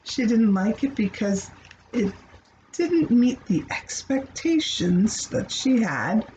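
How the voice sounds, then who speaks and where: A woman reading a picture book aloud to children in an expressive, playful way, with asides to her young listeners. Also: on the high side, takes it slowly, dull audio, slight background noise. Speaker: children's storybook reader.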